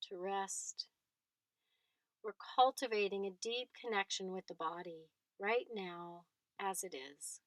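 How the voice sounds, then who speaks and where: A woman leading a guided body scan meditation, speaking in gentle short phrases with long pauses between them. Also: medium pitch, dry recording.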